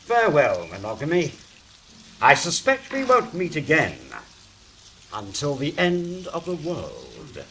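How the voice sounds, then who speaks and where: A man performs a scornful angel in a stage-style drama. His highish voice swoops widely as he declaims with sardonic authority. A clear hiss sits behind the words. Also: huge pitch swings, slow pace, a bit bright, exaggerated voice, rough recording. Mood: brisk, grandiose. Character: scornful, theatrical male angel, sardonic and commanding.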